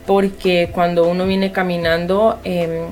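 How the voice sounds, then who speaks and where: Low-voiced Honduran woman telling her story. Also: level tone, unhurried, darkish tone. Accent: Honduran Spanish. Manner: explanatory, often addresses the listener directly. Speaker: interview guest. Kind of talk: personal storytelling in casual conversation.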